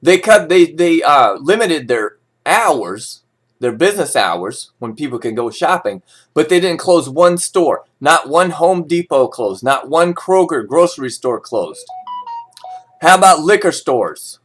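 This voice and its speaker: A man's voice: on the high side, expressive, unhurried, breathy edge, faint music behind. A man reading financial news as an informal host, breaking into sarcastic, opinionated and folksy asides.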